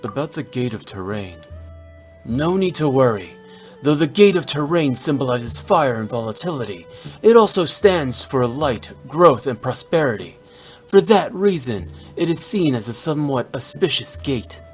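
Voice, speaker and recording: A man voicing a composed, scholarly gentleman in a video game, measured and formal. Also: medium pitch, sing-song, faint music behind, lightly acted.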